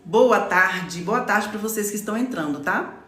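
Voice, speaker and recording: A woman's voice: medium pitch, lively intonation, moderate pace, fairly bright tone. Brazilian woman in her forties, echoey room. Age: 40s. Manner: explanatory, stops often to read comments, says "tá?". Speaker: lawyer and live-stream host. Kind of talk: live-stream talk to followers.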